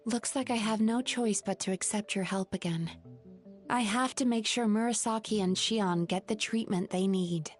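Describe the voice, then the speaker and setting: A woman voicing a calm, caring young therapist in a game, speaking in a composed, gentle and measured way. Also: mid-range voice, lightly acted. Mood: earnest. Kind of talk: game cutscene voice acting. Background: faint game music.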